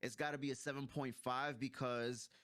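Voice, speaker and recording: A man's voice: mid-range voice, flat delivery, moderate pace, fairly bright tone, no echo, clean studio sound. A man co-hosting a casual movie review, chatting in a blunt, opinionated, slangy way. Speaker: co-host of a video game movie review. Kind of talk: casual review chat between friends.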